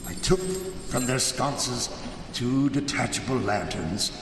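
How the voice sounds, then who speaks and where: An older man playing an aged, gloating murderer who recounts his crime in halting, savoring narration for a live radio play. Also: mid-range voice, natural voice. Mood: sardonic recounting. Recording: echoey hall, live stage, clearly noisy.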